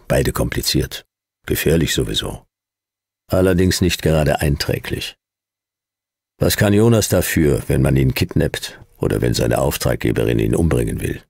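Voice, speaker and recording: A man playing a cynical, laconic private detective in a radio play, terse and deadpan. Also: very deep voice, vocal fry, breathy edge, no room sound, clean studio sound, heavily acted. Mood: dry, sardonic. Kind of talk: noir radio play narration and dialogue.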